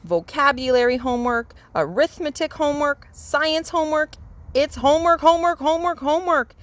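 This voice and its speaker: A woman's voice: sits high, a little fast, no echo, voice pushed up, natural voice. A woman voicing an exasperated young boy bear in a children's story, complaining emphatically.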